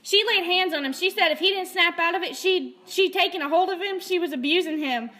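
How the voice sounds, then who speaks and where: A woman's voice: very high voice, brisk, pushed and bright. A woman voicing an indignant, frantic hospital character in an amateur scripted film, agitated in manner. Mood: indignant alarm.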